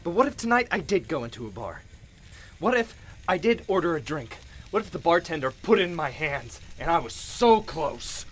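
A man playing a grieving widower and recovering alcoholic in a radio play, stammering and halting with emotion. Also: on the high side, very animated, a bit bright, a little hiss or hum. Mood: ashamed, troubled.

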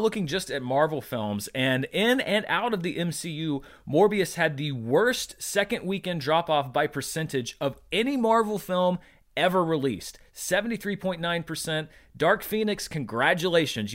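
A man hosting a podcast, giving conversational box office commentary and leaning on key words with deliberate emphasis. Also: a little high, fairly bright tone. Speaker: podcast host.

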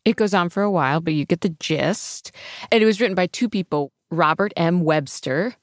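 Female radio correspondent, low register, dry close studio sound, informal storyteller. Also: normal speed, dry recording. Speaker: radio science correspondent and reporter.

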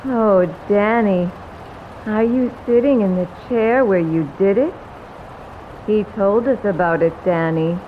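A woman's voice: mid-range voice, phone-quality sound, slight background noise, natural voice. A woman voicing a taunting ghost of a murdered woman. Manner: slow, singsong, menacing taunting. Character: dead female victim ghost, taunting, vengeful. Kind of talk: horror audio drama.

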